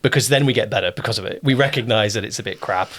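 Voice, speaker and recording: A British man talking as a casual interviewer, encouraging, often halting and circling round his point. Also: fairly low pitch, fairly bright tone. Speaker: interviewer, producer.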